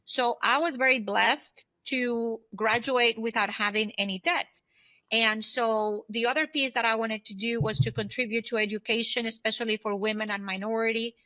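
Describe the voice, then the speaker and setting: A woman's voice: fairly high pitch, steady tone, moderate pace, bright, forceful tone, dry recording, low-quality recording. A woman in her fifties explaining things earnestly as a guest in a conversational interview. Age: 50s. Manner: earnest, explanatory, often checks in with "right?". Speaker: podcast guest, consultant and former aerospace executive.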